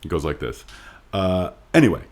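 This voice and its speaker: A man co-hosting a casual podcast, bantering and reading listener mail in a wry, joking, halting way. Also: low-pitched, big pitch swings. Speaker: podcast co-host.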